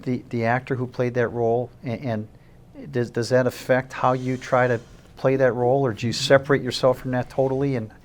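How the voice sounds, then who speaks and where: A man's voice: medium pitch, flat delivery, fairly quick, a little mellow. A middle-aged man hosting a local talk show, asking friendly questions with many stammered restarts. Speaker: host. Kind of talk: casual interview chat.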